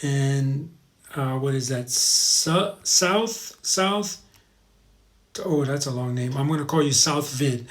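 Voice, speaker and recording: A man's voice: medium pitch, slow, fairly bright tone. A man hosting a casual livestream, chatting informally to viewers and rambling as he repeats his advice for emphasis. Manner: informal, addresses viewers as guys. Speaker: live show host. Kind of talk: casual livestream chat, reading viewer comments.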